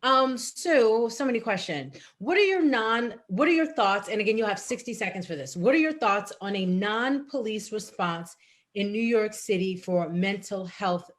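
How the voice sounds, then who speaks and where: Friendly woman moderating a forum. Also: medium pitch. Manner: friendly, filler-heavy, repeats herself.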